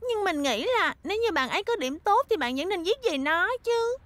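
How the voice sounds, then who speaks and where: A woman's voice: extremely high pitch, animated, moderate pace, a bit bright, no echo. A woman voicing a sweet, earnest little cartoon schoolgirl. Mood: earnest, helpful. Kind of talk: cartoon dubbing.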